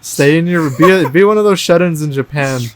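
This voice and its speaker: A man co-hosting a casual podcast chat, answering with short agreements and dry deadpan quips. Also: a little high, brisk. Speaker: podcast co-host.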